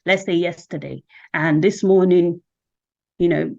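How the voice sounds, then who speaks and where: A woman's voice: lowish voice, steady tone, unhurried, creaky, a little mellow, highs cut off. A woman hosting a casual interview, leading with questions and checking in with "right?" and "okay?". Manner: prompting, asks with "right?" and "okay?". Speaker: host.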